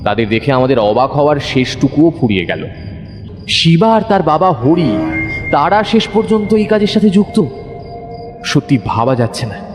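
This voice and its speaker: A man's voice: fairly high pitch, normal speed, music in the background. Suspenseful male story narrator, long reverb. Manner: suspenseful, expressive. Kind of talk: dramatic scripted first-person detective story narration.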